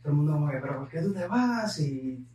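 A man from Puerto Rico with a Puerto Rican accent, a podcast guest telling his life story in an unhurried way, slipping English words into his Spanish. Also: a little high, animated, reverberant, distant mic, over a noisy crowd. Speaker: guest entrepreneur. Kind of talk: conversational storytelling.

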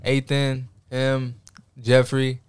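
A man hosting a casual group chat, swearing freely and telling stories with lively acted-out quotes. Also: medium pitch, very slow, clear, resonant tone, dry recording, clean studio sound. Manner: profane, animated storytelling, acts out quotes. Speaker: podcast host.

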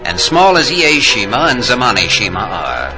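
A man's voice: medium pitch, wildly swooping pitch, moderate pace, poor audio. A man playing a solemn narrator honouring the war dead in a poetic 1940s radio drama, with grave delivery. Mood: solemn, elegiac. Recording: 1940s radio recording with orchestral music. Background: orchestral music.

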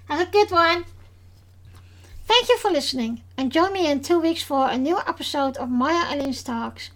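A woman with a Dutch accent, a non-native English speaker, hosting a casual podcast chat and talking hesitantly with many fillers and restarts. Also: high-pitched. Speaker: podcast host.

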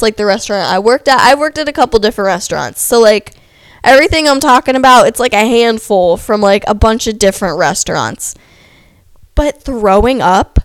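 A woman's voice: a little high, clear, resonant tone, no room sound. Stuffy-voiced young woman ranting on a podcast. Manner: animated, sarcastic, heavy use of "like". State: stuffy, losing her voice. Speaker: podcast host. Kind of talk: casual ranting podcast monologue.

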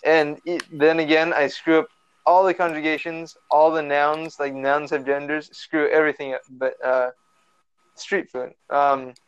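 A man's voice: a little high, flat delivery, measured pace, smooth. A young man from the Los Angeles area, a podcast guest chatting casually over a remote call, rambling with lots of fillers and restarts. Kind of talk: casual chat. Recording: lo-fi online call audio.

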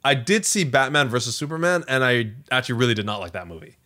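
Man chatting with very animated pitch. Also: quick pace. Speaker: podcast co-host. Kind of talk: casual chat.

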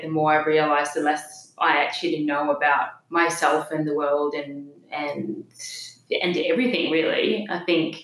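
Low-voiced woman with a light Danish accent. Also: echoey room, low-quality recording. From Denmark. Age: 30s. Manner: open, reflective, many fillers. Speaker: podcast guest. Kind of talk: personal interview talk.

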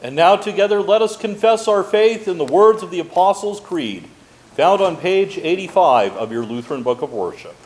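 A man leads a liturgical recitation as pastor. His voice is fairly high and very lively in pitch, ringing with the long reverb of a church sanctuary. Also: moderate pace, a little hiss or hum. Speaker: pastor, worship leader. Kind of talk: leading congregational recitation of a creed.

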